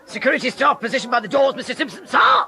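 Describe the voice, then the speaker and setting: An actor playing a zealous ex-lance corporal working as a store guard, barking clipped military replies in a British radio comedy. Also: male voice, very high-pitched, fast talker, bright, forceful tone, heavily acted. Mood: eager, barking. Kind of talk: British comic radio acting.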